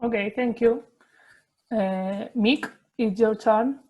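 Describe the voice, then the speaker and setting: A woman's voice: fairly high pitch, steady tone, mellow. A woman moderating an online meeting, calling on speakers briefly. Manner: brief, brisk. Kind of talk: moderating introductions.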